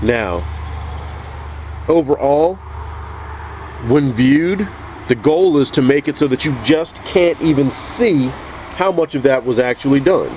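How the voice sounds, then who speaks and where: Guy with a stuffy cold voice, methodical, walking you through painting a figure, medium pitch. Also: slow pace, long pauses, a little hiss or hum. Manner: calm, methodical, with frequent restarts. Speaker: custom figure painter teaching. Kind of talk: painting tutorial narration.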